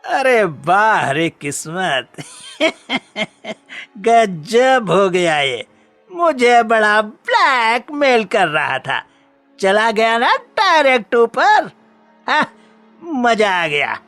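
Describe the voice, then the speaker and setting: A man's voice: sits high, big pitch swings, very slow, fairly bright tone, no room sound, soft background music, exaggerated voice. A man voicing a hot-tempered, cowardly food seller in a cartoon story, blustering in an exaggerated way. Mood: gleeful. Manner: blustering, stammering when frightened, exaggerated. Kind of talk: Hindi cartoon story dubbing.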